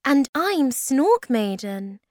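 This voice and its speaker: A woman's voice: high voice, sing-song, slow, darkish tone, no room sound, clean studio sound, pitched above the performer's own voice, exaggerated voice. A woman playing an earnest, loyal young female creature from a storybook.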